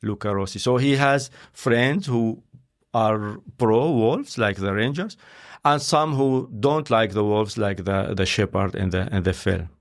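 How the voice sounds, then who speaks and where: Gravelly man, halting and searching. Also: lowish voice. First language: not English. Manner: halting, searching for words with many fillers. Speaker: interview guest, scientist and filmmaker. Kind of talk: conversational interview.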